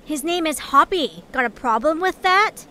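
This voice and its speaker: Woman playing a very high-pitched, snappy little adventurer. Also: expressive, moderate pace, bright, forceful tone. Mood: defiant. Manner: sarcastic, snappy. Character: feisty, easily exasperated small female adventurer creature. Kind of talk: amateur audio drama acting. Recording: noisy home recording.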